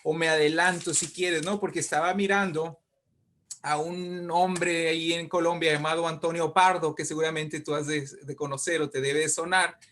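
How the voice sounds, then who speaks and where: A man, talking as an interview guest and explaining history in an animated way, often checking in with questions. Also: a little high, normal speed. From Mexico. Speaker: interview guest, writer and poet.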